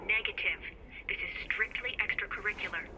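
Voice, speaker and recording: A woman's voice: mid-range voice, fairly quick. A woman playing a terse, businesslike military radio operator in a video game, heard through a radio filter. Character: brisk female military radio operator, secretly helpful. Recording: noisy military radio link.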